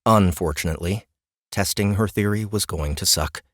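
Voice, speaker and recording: A man narrating a fantasy audiobook in a wry, expressive storytelling manner. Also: deep voice, moderate pace, dry recording, studio quality.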